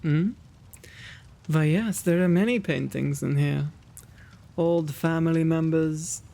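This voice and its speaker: Kindly vampire lord of the manor, played by a man, ASMR roleplay: genteel and quaint, slow delivery, gravelly creak. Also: a little high, warm tone. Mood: genial, chatty. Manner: genteel, old-fashioned, fussing and flustered diction. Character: kind, quaint gentleman vampire, lonely host of old mansion.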